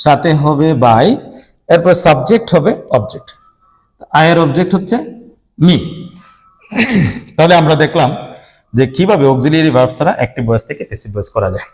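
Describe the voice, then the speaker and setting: A man's voice: on the high side, a little mellow. Man teaching grammar step by step. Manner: explains step by step, addresses students directly. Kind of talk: whiteboard grammar lesson.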